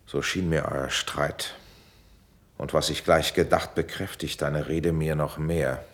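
Calm commanding prince, low voice, courtly measured verse, classical radio drama. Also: male voice, heavily acted. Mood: calmly commanding. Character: calm, authoritative prince, fatherly mediator of quarrels.

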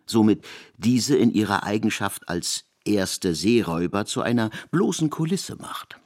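A man narrating scripted literary prose for a radio production in an expressive, elevated storytelling manner, recorded in a studio. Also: lowish voice, unhurried.

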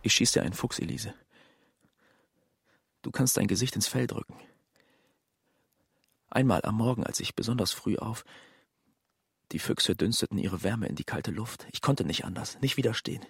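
An actor playing a haunted, dreamy hunter's son in a poetic radio monologue, absorbed and halting. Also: male voice, on the low side, creaky, husky, dry recording, studio quality, exaggerated voice. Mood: rapt, dreamy. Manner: absorbed, halting, broken-off sentences.

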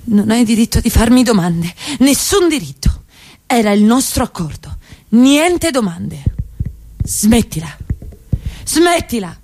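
A woman's voice: a little high, sing-song, slow, darkish tone, heavily acted. A woman playing an evasive, defiant, seductive middle-class wife in radio theatre, with a theatrical, insistent delivery that can drop to a whisper. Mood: defensive, indignant.

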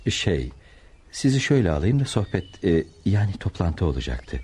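Very deep, humble dervish. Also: male voice, sing-song, moderate pace, a little mellow, breathy edge, heavily acted. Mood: cautious, polite. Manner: deferential, polite. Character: humble, obedient male dervish disciple. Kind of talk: Turkish radio theatre acting.

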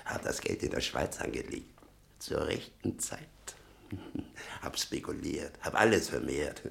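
An actor playing a bitter, doting old father in a 1960 German radio play, halting and brooding, muttering with sudden emphasis. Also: extremely low pitch, animated, gravelly, fairly bright tone, slight background noise, full character voice. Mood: obsessive, hopeful. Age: older.

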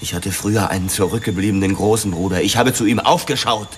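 A man's voice: lowish voice, fast, a little hiss or hum, natural voice. A man playing a hard, accusing police detective in a radio drama, confrontational and demanding. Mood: probing.

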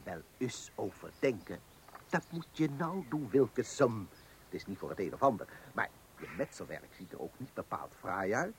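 An actor playing a meddling, boastful know-it-all neighbour in a radio comedy play, pompous and pushy. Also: male voice, lowish voice, sing-song, normal speed, slight background noise. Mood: boastful, scolding.

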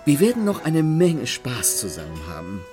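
An actor playing a suave, teasing, self-assured gentleman thief in a German radio play, courteous and mocking. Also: medium pitch, wildly swooping pitch, moderate pace, music in the background. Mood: amused, courteous.

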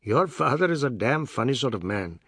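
A man's voice: mid-range voice, big pitch swings, moderate pace, a bit bright, breathy edge, dry recording, studio quality, heavily acted. A man voicing a bullying, jovially menacing middle-aged uncle, boastful and sneering, speaking in colloquial grammar with taunting questions in a storybook. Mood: aggrieved, taunting.

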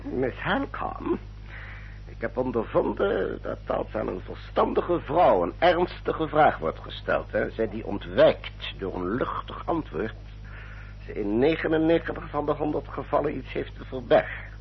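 A man playing an older, formal Victorian gentleman adviser in a Dutch radio drama, rough-voiced, deliberate and emphatic. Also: mid-range voice, sing-song, slight background noise. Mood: pointed, stern. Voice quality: husky, rough. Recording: dull, muffled old radio recording.

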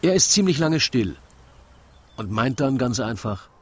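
A man narrating a comic radio play in rhyme, with wry, deliberate storytelling. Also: medium pitch, big pitch swings, slightly rough.

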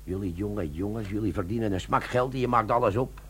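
An actor playing a wily, brazen army sergeant, a chummy smooth talker in a comic radio play. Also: male voice, on the low side, a little fast. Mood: grumbling, wheedling. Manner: cheeky, chummy, feigned innocence.

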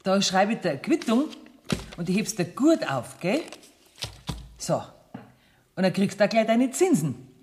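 A shrewd Bavarian businesswoman in a radio drama. Her pitch moves in lively swings at a medium height. She sounds brisk, folksy and firmly in charge. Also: a little hiss or hum. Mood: businesslike. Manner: brisk, familiar, commanding. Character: bossy, shrewd Bavarian businesswoman handling money with folksy confidence. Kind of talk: radio play acting.

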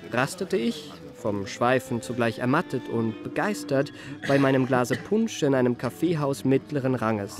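A man's voice: mid-range voice, close to an everyday voice. An actor playing an elegant young male confidence trickster who recounts his life in ornate, self-satisfied, mannered formal language for a literary radio play. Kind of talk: literary first-person radio play narration. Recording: music behind the voice.

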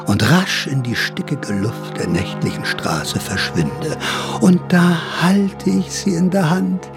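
A man's voice: fairly high pitch, expressive, slow, gravelly, over loud music, exaggerated voice. An actor playing a sly older counterculture veteran who narrates his memories in a radio drama, confiding, wry and theatrical. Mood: confessional, ironic.

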